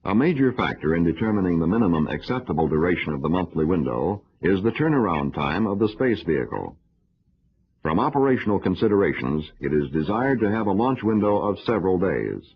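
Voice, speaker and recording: A man delivering scripted educational film narration in a formal, measured, instructional manner. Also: sits low, big pitch swings, breathy edge. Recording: dull, lo-fi old film soundtrack.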